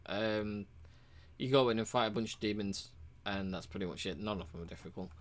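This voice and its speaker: A man casually walking through a game's achievements, relaxed and rambling, with frequent ums and uhs. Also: on the low side, brisk. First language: English. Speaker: game reviewer.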